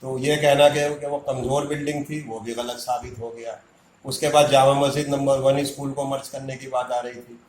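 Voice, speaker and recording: A man speaks out as a community activist, indignant and argumentative, pressing his case with one rhetorical question after another. His voice sits at an ordinary middle pitch and rings off the walls of an echoey room. A faint ambient hum runs beneath him. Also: level tone, moderate pace, a little mellow. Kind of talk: impassioned public statement.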